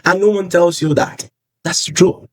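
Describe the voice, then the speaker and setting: A man's voice: sits high, steady tone, unhurried, no echo, studio quality. A young man from Nigeria with a Yoruba accent, giving earnest personal advice in a vlog and repeating phrases for emphasis. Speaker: vlogger. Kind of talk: personal advice talk to camera.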